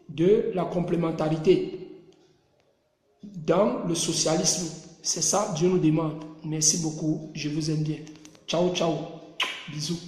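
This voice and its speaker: A man with a Cameroonian French accent addresses his online group in a loose, preachy manner. His voice sits high for a man. It is recorded in a roomy space where the sound rings on with a long echo. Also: mellow. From Cameroon, Mungo region. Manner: repetitive, rallying, preachy. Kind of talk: casual live video address to followers.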